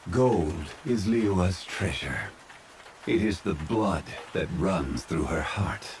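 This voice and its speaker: A man playing a calm, ancient, scholarly immortal in human form, speaking formally and with measured courtesy in a soft ASMR roleplay. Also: low voice, a little hiss or hum. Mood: calm, musing. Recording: steady rain sound laid behind voice. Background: rain falling.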